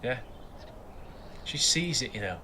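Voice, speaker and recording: An actor playing a reserved, subdued neighbour and parent who talks in brief, understated replies in an audio drama. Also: male voice, medium pitch, expressive, takes it slowly, noisy background.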